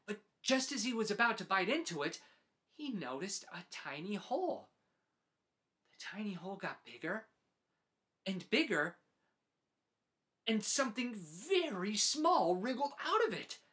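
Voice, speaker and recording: A man in his forties narrating a children's storybook as host, with deliberate, dramatic phrasing. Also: high-pitched, very animated, bright, forceful tone. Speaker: host reading picture books aloud.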